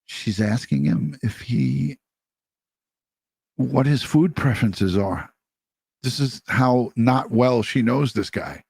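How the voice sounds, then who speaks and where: A man's voice: medium pitch, darkish tone, breathy edge, no room sound. A man hosting a commentary show, speaking off the cuff in a scornful, sarcastic way. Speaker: show host commenting on a recorded call.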